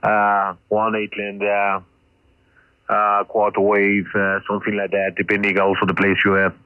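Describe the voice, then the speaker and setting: Over a ham radio link, a man who is not a native English speaker talks haltingly, searching for words and repeating himself. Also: lowish voice, completely flat delivery, no echo. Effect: ham radio transmission. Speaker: amateur radio operator. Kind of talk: casual radio conversation. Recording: muffled, band-limited shortwave radio signal.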